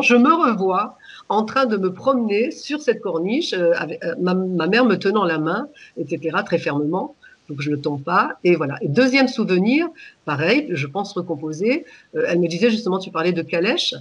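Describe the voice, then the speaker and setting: A woman in her sixties from Egypt, whose first language is Arabic, calmly recalling her childhood as a radio guest, pausing and restarting often. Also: mid-range voice, slightly rough. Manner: hesitant, many pauses and restarts. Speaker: guest, author. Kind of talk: reflective personal storytelling.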